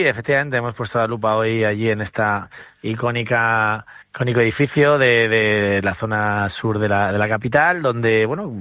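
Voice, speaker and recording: Low-voiced male reporter, halting, heard over a tinny phone line. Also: no echo. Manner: halting, many restarts and fillers. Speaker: newspaper reporter. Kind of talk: casual radio report.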